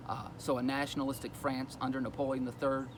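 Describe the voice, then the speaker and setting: A man's voice: medium pitch, flat delivery, fast talker, background noise. A man explaining a history lesson in a casual, conversational way, with fillers as he goes. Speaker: teacher.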